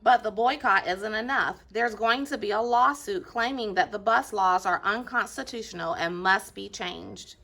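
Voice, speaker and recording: Bright-voiced earnest lawyer read by a woman. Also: medium pitch, moderate pace, gravelly, few pauses. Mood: persuasive. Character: earnest, courteous male civil rights lawyer.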